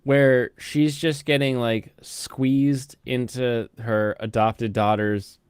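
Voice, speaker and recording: A man casually reviewing anime with friends, rambling and animated, often slipping into mocking quoted voices. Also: medium pitch, takes it slowly, clean tone, no room sound. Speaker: host.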